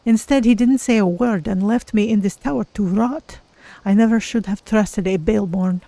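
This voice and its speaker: A woman's voice: medium pitch, normal speed, gravelly, mellow, no room sound, lightly acted. A woman playing a betrayed, dying young woman, bitter and sorrowful, in a video game monologue.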